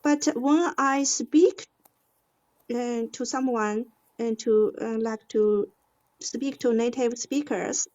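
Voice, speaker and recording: A woman with a Chinese accent, an English learner speaking haltingly in a lesson as she searches for words, on dull lo-fi audio. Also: a little high, darkish tone. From China. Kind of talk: hesitant conversation in a lesson.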